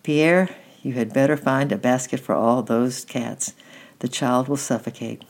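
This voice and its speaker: A woman reading aloud, voicing a kindly, practical old grandmother in a children's storybook with a soft, measured tone. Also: rumbling low. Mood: gentle.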